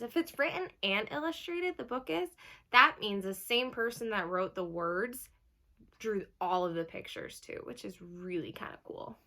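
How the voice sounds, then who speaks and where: A woman with a fairly high voice reads a children's story aloud as a teacher would. She speaks directly to young listeners, explaining in simple words and putting questions to them between passages. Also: gravelly, fairly bright tone.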